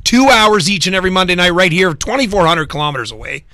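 A man's voice: sits high, animated, quick pace, pushed and bright, no room sound, clean studio sound. A man hosting a live radio music show, talking informally and enthusiastically in punchy, emphatic phrases.

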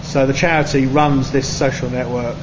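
A man's voice: medium pitch, fairly even intonation, normal speed. A man who organises the charity, earnestly explaining at length from behind the camera in a pub. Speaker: charity organiser filming the artist. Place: indoor pub. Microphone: camera mic, speaking from behind the camera. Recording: dull sound with clear background noise.

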